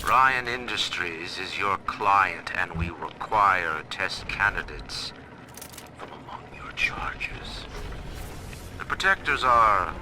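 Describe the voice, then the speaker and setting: Game villain: imperious middle-aged tycoon, low-voiced and sweeping in intonation, pompous menace, on a noisy old diary recording. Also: male voice, lively intonation. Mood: stern, commanding. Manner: pompous, deliberate, menacing formal diction. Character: stern, proud, autocratic male tycoon ruling his own city. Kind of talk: dramatic recorded diary monologue, formal oratory.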